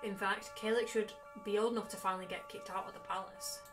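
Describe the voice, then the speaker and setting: A woman's voice: medium pitch, steady tone, fast talker. Woman streamer reading aloud over music. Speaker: streamer playing a visual novel. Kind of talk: reading game dialogue aloud with casual asides. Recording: music behind the voice. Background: game music.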